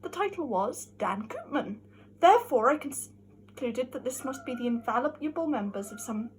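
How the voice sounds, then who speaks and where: A woman giving a theatrical, mock-scholarly dramatic reading of a classic novel, with emphatic delivery and a husky voice. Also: sits high, a little hiss or hum, rough recording. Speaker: amateur reader.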